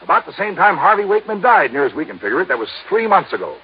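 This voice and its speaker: An American actor playing a folksy, talkative male insurance agent with a rough, husky voice in an old radio drama. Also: high voice, big pitch swings, very fast, heavily acted. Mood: earnest explaining. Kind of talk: 1950s radio drama acting. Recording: muffled vintage radio recording.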